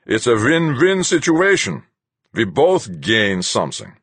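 A man voicing a gruff older ship captain, proud, stern and commanding, in an audiobook story. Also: sits low, very animated, normal speed, a bit bright, dry recording, pitched above the performer's own voice, heavily acted. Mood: mock genial. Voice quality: gruff, heavy.

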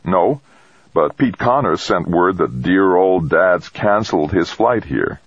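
A man's voice: sits low, lively intonation, takes it slowly, breathy edge, no echo, muffled recording, pitched above the performer's own voice, exaggerated voice. A man playing a cocky, breezy, snappy space explorer in an audiobook. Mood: excited. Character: brash, excitable, cocky man on Mars mission.

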